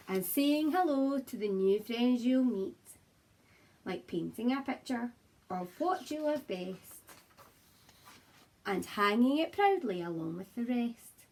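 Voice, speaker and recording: A woman primary teacher with a slightly husky voice, talking to young children and reading a picture book aloud in an expressive, encouraging way. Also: on the high side, slight background noise. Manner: expressive, encouraging, simple address to small children. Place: child's room at home, indoors.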